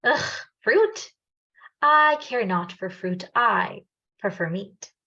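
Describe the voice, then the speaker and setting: A woman voicing a grumpy, greedy male crocodile in a told folk tale, with drawn-out, emphatic lines. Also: mid-range voice, creaky. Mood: disdainful. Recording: dull lo-fi recording.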